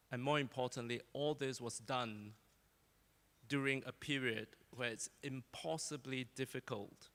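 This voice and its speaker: A man's voice: medium pitch, fairly bright tone, in a large hall. A man moderating an on-stage panel interview at a conference, reading introductions and adding jokes. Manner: joking, scripted introductions. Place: conference hall.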